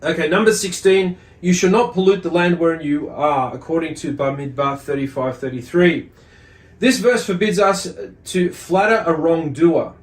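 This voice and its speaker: A man hosting a religious study lesson, explaining and reading commentary in a halting, deliberate way with pauses and repeated words. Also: a little high. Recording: echoey room sound.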